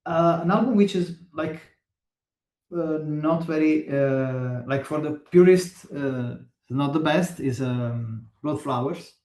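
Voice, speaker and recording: A man from Italy with an Italian accent talks slowly and haltingly, with many pauses and restarts as he hunts for English words. His voice sits a little high for a man. The sound is roomy, with a noticeable echo around him. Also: darkish tone. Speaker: guest musician. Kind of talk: casual interview chat.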